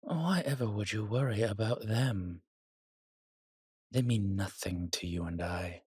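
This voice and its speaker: Man voicing a husky, courtly vampire seducer. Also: fairly low pitch, measured pace, a little mellow, no room sound, clean studio sound. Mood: reassuring, possessive. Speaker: voice actor in an audio drama. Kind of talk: intimate romantic audio roleplay addressed to the listener.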